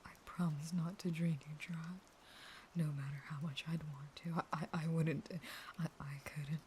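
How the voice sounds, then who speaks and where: A woman playing a starving, caged vampire lover in an intimate audio roleplay, breathy and mostly whispering, unstable, possessive, pleading and threatening. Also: deep voice, little pitch movement, moderate pace, darkish tone, a little hiss or hum, natural voice. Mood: feverish pleading.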